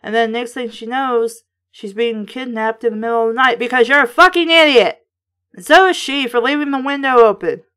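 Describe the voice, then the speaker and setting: A woman with a slightly high voice gives casual, critical commentary as the host of a book show. She is blunt and exasperated, and she swears freely while making her points. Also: fairly quick, fairly bright tone, smooth.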